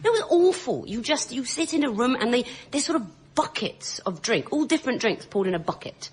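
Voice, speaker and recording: A woman guest on a comedy panel show, telling anecdotes haltingly and chuckling as she goes. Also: a little high, sing-song. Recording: live audience laughter, clearly noisy background. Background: studio audience laughing.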